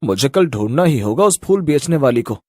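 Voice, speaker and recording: An actor playing a naive, lovestruck young man with a husky voice and an earnest, wondering way of talking in a horror story. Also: mid-range voice, lively intonation, brisk, darkish tone, no echo, close to an everyday voice. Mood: puzzled.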